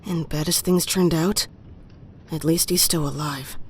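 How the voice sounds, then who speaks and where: A woman's voice: low voice, steady tone, unhurried, slight background noise. A woman playing a caring young mutant fox in an audio drama, earnest and firm in her delivery. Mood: firm, insistent.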